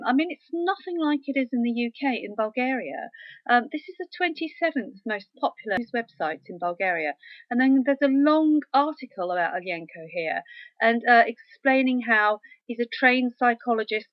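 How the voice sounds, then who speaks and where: A woman's voice: on the high side, no echo, highs cut off. A woman giving a casual, wry commentary while walking through web pages on her screen, with frequent ums. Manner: chatty, wry, with filler ums. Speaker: researcher presenting a screen tour.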